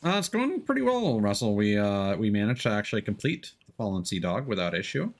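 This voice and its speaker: Low-voiced man chatting on a gaming stream. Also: big pitch swings, brisk. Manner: rambling, thinking aloud while playing. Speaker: livestream host playing a game. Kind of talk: casual gaming stream chat.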